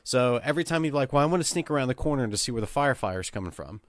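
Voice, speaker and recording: A man's voice: mid-range voice, expressive, rapid-fire, no room sound. A man telling casual game master anecdotes, swearing and acting out the dialogue as he goes. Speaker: podcast panelist and game master.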